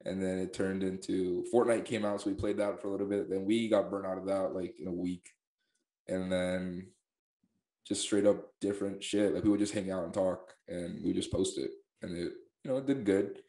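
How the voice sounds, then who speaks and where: A man's voice: deep voice, steady tone, moderate pace, mellow, reverberant, low-quality recording. A young man hosting a podcast in casual chat, laid-back, swearing and laughing easily. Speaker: podcast host.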